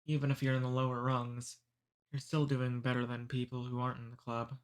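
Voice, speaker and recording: A man voicing a friendly, centuries-old male demon in an ASMR roleplay. He talks calmly and teases gently. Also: mid-range voice, a little fast, clear, resonant tone, lightly acted. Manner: calm, friendly, gently teasing.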